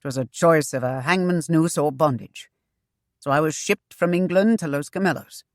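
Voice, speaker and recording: A woman's voice: low-pitched, normal speed, dry recording, studio quality. Woman reading a guarded young convict man. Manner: clipped, old-fashioned speech. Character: young male English convict, calm and guarded.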